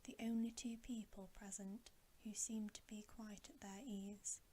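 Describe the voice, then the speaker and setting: Woman, ASMR whisper, bedtime story reading, breathy and hushed, very even intonation, slow pace, faint background noise. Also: medium pitch. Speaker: ASMR reader.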